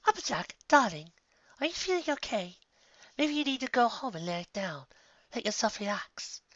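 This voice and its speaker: A man's voice: very high voice, big pitch swings, moderate pace, a bit bright, no echo, highs cut off, voice pushed up, full character voice. A man voicing a refined, gushing female fashionista pony in a storybook reading, with theatrical, genteel delivery. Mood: concerned, soothing. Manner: theatrical, genteel, fussy endearments.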